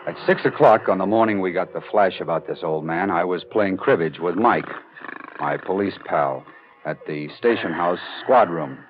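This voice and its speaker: An actor playing a worldly police reporter, narrating in a deliberate hard-boiled 1940s radio noir manner. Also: male voice, sits low, a little hiss or hum, natural voice. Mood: grim narrating. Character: worldly male police-beat reporter telling his story. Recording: muffled old radio recording, faint music.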